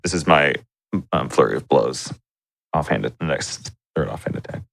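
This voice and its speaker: Deep-voiced man gaming, noisy recording. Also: moderate pace, vocal fry, fairly bright tone. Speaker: tabletop game player. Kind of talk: casual game-table chat.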